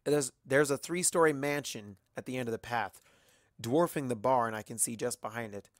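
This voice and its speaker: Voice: medium pitch, normal speed, no room sound. A narrator reading a first-person story expressively. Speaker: fan fiction narrator.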